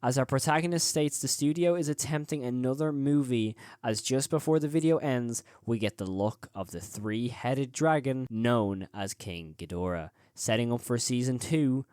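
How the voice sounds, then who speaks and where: A man's voice: mid-range voice, moderate pace, few pauses, a little mellow, smooth, no room sound, clean studio sound. A man presenting a scripted video essay as the host of a horror review channel.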